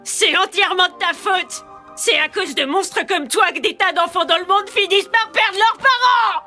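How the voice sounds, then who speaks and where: A woman's voice: extremely high pitch, normal speed, bright, forceful tone, faint music behind. A woman voicing a grieving, bitter little orphaned boy in a French anime dub, emotional and accusing. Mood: angry, tearful. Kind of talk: French-dubbed anime acting.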